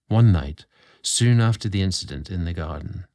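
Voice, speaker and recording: A man with a fairly low voice narrates a romantic tale in a dry, close recording. His delivery is theatrical and sensual, shaped like scripted audio storytelling. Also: lively intonation, normal speed, darkish tone, dry recording. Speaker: guest host and narrator.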